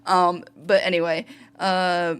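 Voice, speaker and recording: A woman giving a casual fan tribute, rambling and thinking out loud as she goes. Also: on the low side, flat delivery, slow pace, clean tone. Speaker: fan contributor.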